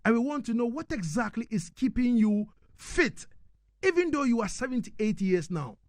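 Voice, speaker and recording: A man's voice: very high-pitched, very animated, no room sound. Male radio host, dry close sound. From Ghana. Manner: deliberate, repeating words for emphasis. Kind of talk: live radio interview hosting.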